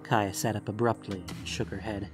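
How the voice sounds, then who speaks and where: A man's voice: fairly low pitch, level tone, normal speed. A man reading scripted fantasy audiobook narration, with music playing behind him.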